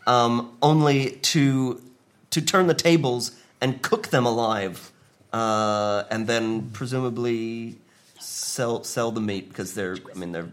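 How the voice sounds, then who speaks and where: A man's voice: mid-range voice, steady tone, slow. A man improvising a mock-earnest, hesitant argument as a comedy panellist. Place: live studio with audience.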